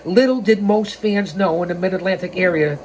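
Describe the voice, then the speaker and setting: Woman narrating over clearly noisy background. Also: on the low side, a little fast, a little mellow. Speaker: storytime host and narrator. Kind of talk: reading a scripted wrestling history aloud with casual asides.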